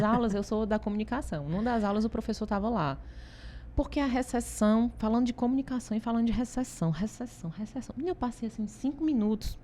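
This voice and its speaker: A woman from Ceará, Brazil, speaking with a Northeastern Brazilian accent as an interview guest, telling stories in an animated way, laughing often and asking "né?" a lot. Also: mid-range voice, slight background noise. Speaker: interview guest, consultancy founder. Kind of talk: casual interview talk.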